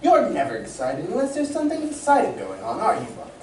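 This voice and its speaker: A woman's voice: fairly high pitch, wildly swooping pitch, fast, darkish tone. Woman playing a genial scientist with swooping delivery. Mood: proud, explaining. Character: Doctor Hewer, genial proud scientist inventor. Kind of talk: old-time radio serial acting, staged reading. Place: community playhouse stage, live reading. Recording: echoey, distant mic, faint noise.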